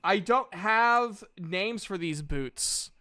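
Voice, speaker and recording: A man's voice: high voice, expressive, very slow, sharp tone, no room sound, studio quality. A man narrating a tabletop game as game master, chatting casually with a playful, sweary manner.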